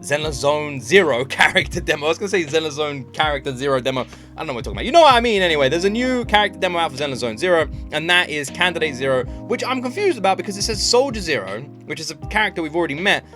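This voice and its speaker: Guy doing a reaction vid, chatty n emphatic, highish voice that swings around a lot, bit of music behind. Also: big pitch swings, fast, bright, forceful tone. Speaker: reaction channel host. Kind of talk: casual, excitable reaction commentary.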